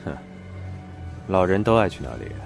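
An actor playing an eerie, ominous coffin seller in a slow, sinister manner in an audio drama. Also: male voice, low voice, big pitch swings, gravelly, faint music behind, full character voice. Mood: ominous calm.

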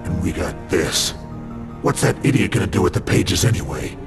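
An actor playing a hero's smug, cruel evil twin in a video game, sneering and dismissive. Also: male voice, deep voice, big pitch swings, moderate pace, gravelly, over music, exaggerated voice. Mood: scornful. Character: smug, cruel evil double of a male hero. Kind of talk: video game villain acting.

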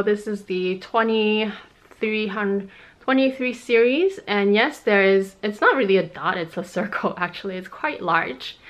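A woman's voice: mid-range voice, a bit bright. A woman in her thirties, a native Cantonese speaker, chatting casually and explaining things to viewers as a vlog host in a room at home. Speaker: fashion vlog host answering viewer questions. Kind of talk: casual chat to camera. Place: small room at home, indoors.

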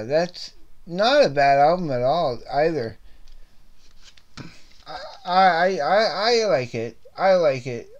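Slow-talking rambling man, scratchy throat. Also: on the high side. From Canada. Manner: rambling, repeats words and restarts often. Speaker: host of a CD review video series. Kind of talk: casual unscripted music album review.

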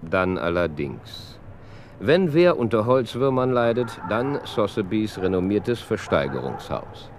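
Casting: self-assured master sleuth for radio drama, lowish voice, unhurried, wry and commanding. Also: male voice, sing-song, slight background noise. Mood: wry, probing. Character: shrewd, self-assured, brisk-minded master detective. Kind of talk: radio play acting.

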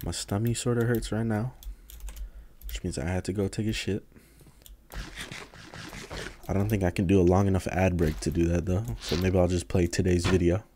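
A man's voice: low-pitched, steady tone, normal speed, long pauses, dark tone, slight background noise. Casual young man streaming a game. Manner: casual, rambling, talks to chat while playing. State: recovering from lost voice. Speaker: gaming streamer. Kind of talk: casual livestream commentary.

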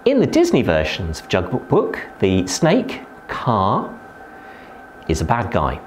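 Male zookeeper, forties, lowish voice with a very lively, emphatic delivery, close mic in an indoor reptile house. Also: takes it slowly, a little hiss or hum. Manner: lively, emphatic explainer with sudden pitch rises. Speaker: zookeeper giving a tour. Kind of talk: educational talk to camera.

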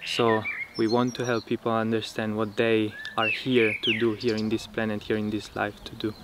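A young man, a permaculture teacher, speaking in a relaxed, thoughtful way with frequent fillers, recorded outdoors in a dry rural field. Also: lowish voice, normal speed. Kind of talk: casual interview talk. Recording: outdoor countryside noise. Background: birds and insects in the countryside.

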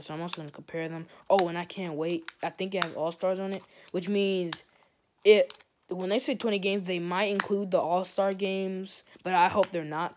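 A teenage boy vlogging in a small bedroom into a phone camera held close. He talks in a loose, rambling way, full of "like", and his unsteady voice tends to crack. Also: fairly high pitch. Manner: rambling, full of "like", drifts off topic. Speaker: gaming channel vlogger. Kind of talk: rambling casual vlog.

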